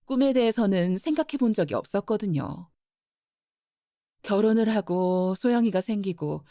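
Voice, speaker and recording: A woman playing a flower-shop mother in her forties, gentle and teasing, often laughing. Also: medium pitch, no echo, studio quality, natural voice. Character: warm mother who runs a flower shop. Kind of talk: audio drama acting.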